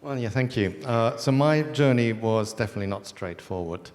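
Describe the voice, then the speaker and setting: A man's voice: mid-range voice, moderate pace, a little mellow. A middle-aged man with a German accent, speaking as a head of school on a panel, wry with humorous asides. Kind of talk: panel discussion on stage. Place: event hall before an audience. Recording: reverberant event hall.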